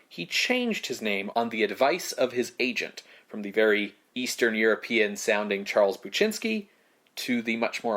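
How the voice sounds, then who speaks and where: A man co-hosting a podcast, narrating prepared film history in measured phrases with casual asides. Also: mid-range voice. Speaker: podcast co-host.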